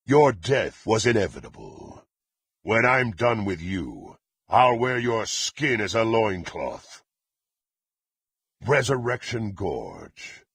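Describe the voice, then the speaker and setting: A menacing fantasy game warrior, rough and rasping, speaking in haughty, archaic threats. His delivery is slow and theatrical, swinging dramatically up and down in pitch. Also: fairly bright tone, no room sound, full character voice. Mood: furious, threatening. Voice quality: gravelly, raspy. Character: murderous, menacing, proud warrior serving a dark lord. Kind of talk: fantasy video game voice acting.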